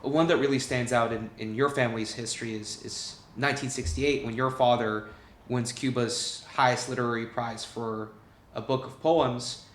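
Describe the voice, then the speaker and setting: Guy hosting a podcast interview, normal pitch, pretty echoey room, asking questions conversationally. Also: steady tone, moderate pace, slight background noise. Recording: roomy, echoing sound.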